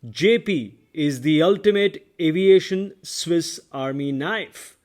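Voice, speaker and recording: A man's voice: a little high, darkish tone. A man hosting a podcast, delivering polished, scripted introductions and questions. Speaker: podcast host. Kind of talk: podcast interview.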